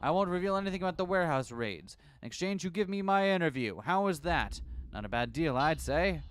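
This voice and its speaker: A man's voice: on the high side, lively intonation, brisk, slight background noise, pitched above the performer's own voice, close to an everyday voice. A man voicing a cocky, taunting reporter. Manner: taunting, sarcastic. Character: cocky, mocking adult male reporter.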